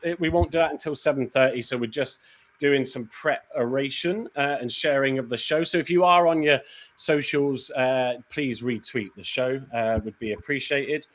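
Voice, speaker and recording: A man hosting a football show, talking in a relaxed, chatty way with lots of fillers. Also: mid-range voice, normal speed. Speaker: podcast host. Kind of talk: casual show host chat.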